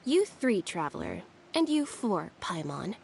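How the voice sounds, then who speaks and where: Deadpan, blunt young sister. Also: female voice, medium pitch, a little slow, vocal fry, a little hiss or hum. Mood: curt, scolding. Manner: deadpan, terse. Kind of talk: video game voice acting.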